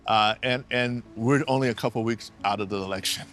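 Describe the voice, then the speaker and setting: A man's voice: mid-range voice, brisk, a bit bright, faint music behind. A man working as an immigration attorney, answering interview questions in an earnest, explanatory way and repeating key phrases for emphasis, recorded at a law office.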